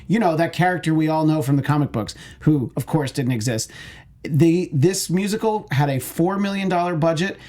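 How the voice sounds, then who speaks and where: A man speaking as a panelist on a movie news show, casual and somewhat halting, given to wordy asides. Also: mid-range voice, darkish tone.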